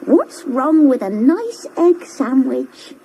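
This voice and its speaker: A woman's voice: high-pitched, very animated, slow, warm tone, a little hiss or hum, voice pushed up, exaggerated voice, rough recording. A woman voicing a fussy, kindly old grandmother who complains and chides in a storybook. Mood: grumbling. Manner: complaining, chiding. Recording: music behind the reading.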